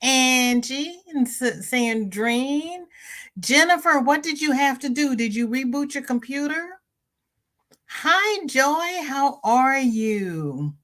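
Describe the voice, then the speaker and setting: A woman hosting a crochet livestream, chatting casually and reading viewer comments. She sounds stuffy-nosed and greets viewers by name with high, bright lifts in her voice. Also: expressive, slow pace. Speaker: crochet channel host.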